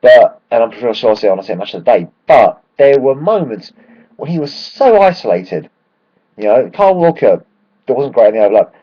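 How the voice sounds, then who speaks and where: A man, hoarse from shouting at a match, ranting excitedly and swearing freely in a casual football vlog. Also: medium pitch, fairly quick, highs cut off. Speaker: football fan vlogger.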